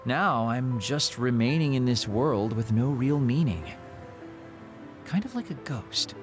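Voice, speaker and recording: A man's voice: medium pitch, animated, unhurried, close to an everyday voice. An actor playing a teasing, world-weary immortal man in a video game cutscene. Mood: weary, hollow. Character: burned-out, playful man two thousand years old, hollow inside. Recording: music behind the voice.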